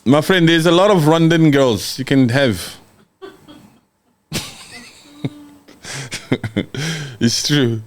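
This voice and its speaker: A man's voice: on the high side, very slow, long pauses. Brash joking man on a livestream. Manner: brash, joking, mocking and laughing often. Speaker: livestream host. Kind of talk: casual livestream chat reading viewer comments.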